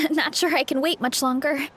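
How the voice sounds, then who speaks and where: A woman's voice: sits high, fairly even intonation, moderate pace, fairly bright tone, slight background noise. A woman voicing a sweet, polite young woman with a hearty appetite in a video game, earnest and apologetic in manner. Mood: eager. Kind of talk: animated video game voice acting.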